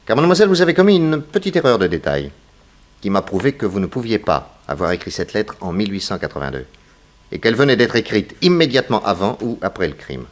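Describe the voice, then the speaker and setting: Commanding male detective, very animated delivery. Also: on the low side. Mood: explanatory, assured. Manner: theatrical, commanding, deliberate accusatory delivery. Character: shrewd, authoritative male detective confronting suspects. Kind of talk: 1960s French radio mystery acting.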